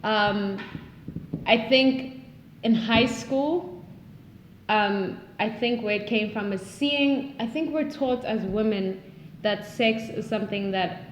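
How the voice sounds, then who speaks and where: A woman's voice: mid-range voice, takes it slowly, slight background noise. Reflective woman, echoey room. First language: not English. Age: 20s. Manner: earnest, reflective, searches for words. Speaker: podcast guest. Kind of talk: casual conversation. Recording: roomy echo.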